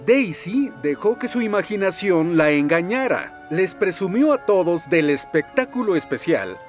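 A man narrating a children's cartoon, his voice a touch high and very lively. He tells the story expressively, with music playing clearly behind. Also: a little slow.

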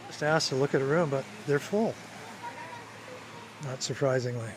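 A man's voice: medium pitch, moderate pace, noisy background. A man casually narrating a travel vlog, wry and chatty, chuckling to himself. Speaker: travel vlogger.

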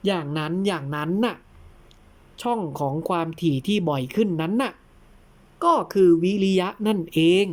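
A woman plays a patient old-fashioned male teacher in a storytelling lesson. The delivery is very unhurried and didactic, with a slightly low pitch for a female voice and careful, probing explanations. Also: darkish tone. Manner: deliberate, didactic, breaks words into syllables. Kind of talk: dramatized teaching dialogue in an audio tale.

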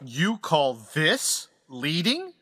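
A man playing an animated, husky old space admiral. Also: on the high side, dry recording, full character voice. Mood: wry challenge. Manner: measured, formal, earnest mentor's delivery. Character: wise old male space admiral, secretive, mentoring, patient. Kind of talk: audio drama acting.

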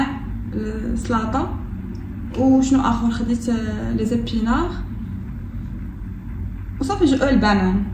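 A young woman in her twenties with a Moroccan accent, chatting casually through a home vlog, relaxed and full of hesitations. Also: on the high side. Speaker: vlogger. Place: apartment kitchen, indoors. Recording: echoey room, distant mic, noisy background.

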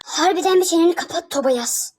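A woman doing casual fan voice acting, sharp and snappy. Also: extremely high pitch, a little fast.

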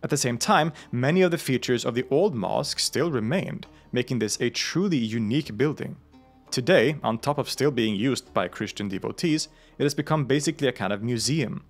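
Male narrator, mid-range voice, measured explanatory documentary read with light asides. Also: mid-range voice, faint music behind. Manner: measured, informative, with the odd aside. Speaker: host of a religion history channel.

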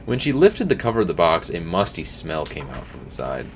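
A young man reading a scary story aloud at home, tripping over words now and then and adding quiet asides. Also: low voice, sing-song, fairly quick, slight background noise. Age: young adult. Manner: reads with slips and restarts, drops to quiet asides. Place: home streaming room, indoors.

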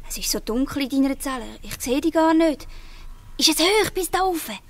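A bold teenage girl who runs a gang, in a Swiss German dialect radio drama. Her voice sits high and gives orders briskly and defiantly. A little noise lies behind it. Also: animated. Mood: hushed, impatient. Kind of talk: radio play acting in dialect.

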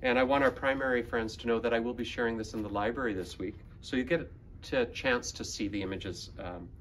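A man in his fifties reading a picture book aloud to children in a deliberate manner. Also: medium pitch, fairly bright tone, slight background noise. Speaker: school librarian, guest reader. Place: school library room, indoors.

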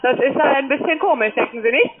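A man making a phone sales call as a call center agent, speaking in a halting, hesitant way and searching for words. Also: very high-pitched, animated. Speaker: call center caller selling crypto trading. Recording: muffled phone line, busy call-center chatter.

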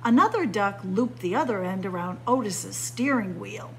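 A woman's voice: mid-range voice, animated, normal speed, a bit bright, slight background noise. A woman reading a children's picture book aloud like a story-time librarian, expressively, with dramatic emphasis on key words.

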